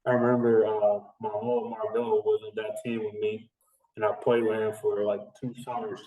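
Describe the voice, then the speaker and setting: A man's voice: fairly low pitch, flat delivery, moderate pace, phone-quality sound. A man in his thirties from the Dominican Republic, a guest talking casually and rambling, with lots of fillers and restarts. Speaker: strength and conditioning coach, guest. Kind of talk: casual interview chat. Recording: echoey, distant remote recording.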